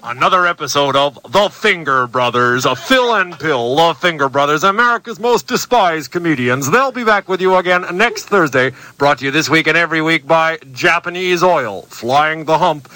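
Bright-voiced mock radio announcer man. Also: a little high, lively intonation, dry recording. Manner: brisk announcer delivery. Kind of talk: mock radio show sign-off.

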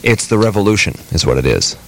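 A man's voice: sits low, sing-song, fast talker, creaky. A man in improvised comedy radio banter, talking in a hesitant, rambling hipster manner.

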